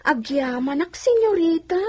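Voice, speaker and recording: A woman's voice: extremely high pitch, darkish tone, exaggerated voice. A woman acting an eager, respectful young village bride-to-be in a radio comedy drama, girlish and deferential.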